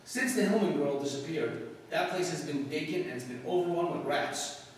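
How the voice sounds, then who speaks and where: Man acting a breezy newsman, higher voice, distant hall sound, wry, old-time radio style. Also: normal speed, natural voice. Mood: jaunty, wry. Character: breezy, wisecracking male newspaper reporter. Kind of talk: 1940s radio drama acting. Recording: echoey hall, distant mic, faint noise.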